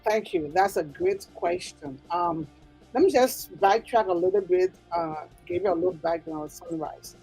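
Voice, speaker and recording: A woman's voice: on the low side, moderate pace, dark tone, slightly rough, soft background music, poor audio. A woman with a Liberian accent, explaining things earnestly and emphatically as an interview guest. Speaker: interview guest, nonprofit executive director.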